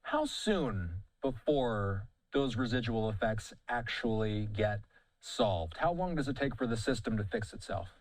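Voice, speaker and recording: Lowish-voiced news interviewer, male, dry sound. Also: lively intonation, measured pace, no room sound, low-quality recording.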